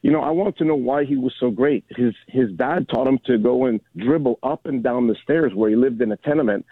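A man's voice: mid-range voice, fairly quick, slightly rough, no room sound. A man in his sixties calling in to a radio show from a coffee shop, rambling and repeating himself in a chummy way. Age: 60s. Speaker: caller. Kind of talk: rambling phone-in call. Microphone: phone. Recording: tinny dry phone line.

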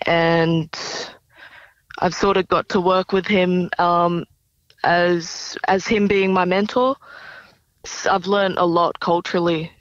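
A woman's voice: lowish voice, flat delivery, slow pace, no room sound, lo-fi sound. A young woman with an Australian accent giving casual, thoughtful, somewhat hesitant answers as an interview guest. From Brisbane, Australia. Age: young adult. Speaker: singer-songwriter, interview guest.

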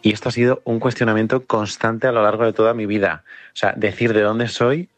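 Man from Cádiz, standard peninsular Spanish accent, low voice, dry sound, articulate personal voice note. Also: level tone, brisk, no echo. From Cádiz, Spain. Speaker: radio listener sending a voice note.

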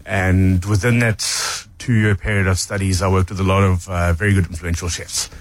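A man's voice: deep voice, moderate pace. A man, a chef and restaurant owner, recounting his career steadily in an interview. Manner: steady, storytelling. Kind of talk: casual interview.

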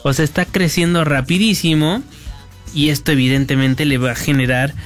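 A man co-hosting a broadcast show, telling stories in a chatty, lively way and laughing easily. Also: on the high side, normal speed, faint music behind. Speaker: co-host. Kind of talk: casual chat on a broadcast show.

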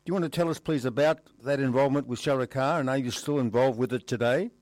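A man hosting an interview, putting formal questions in a measured, slightly halting way as he builds up to each one. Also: mid-range voice, darkish tone, dry recording. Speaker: interviewer, host.

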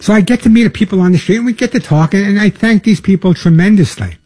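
Older male radio host, mellow dark tone, slightly husky, dry close sound, chatty. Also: high-pitched, quick pace, no echo. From New York. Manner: chatty, repeats words, earnest pleading. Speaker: radio pet show host. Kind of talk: casual talk radio monologue.

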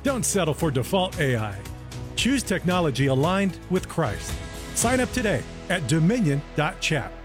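Higher-pitched male ad announcer over music. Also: very animated, moderate pace. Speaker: advertisement announcer. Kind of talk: scripted persuasive ad narration. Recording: clear music bed behind voice.

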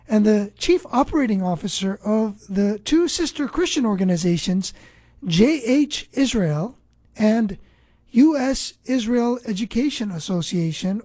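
A woman hosting a radio program, speaking in an earnest, preacherly way with deliberate, scripted-sounding phrasing. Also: medium pitch, dark tone, no room sound. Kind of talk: scripted radio introduction and interview.

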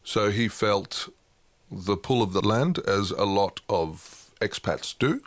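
A low-pitched middle-aged man chats easily as an interview guest. He is wry and self-deprecating and laughs often. The sound is close and dry, with no room around it. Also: slow, no room sound. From England. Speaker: guest, opera music head. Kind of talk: relaxed interview conversation.

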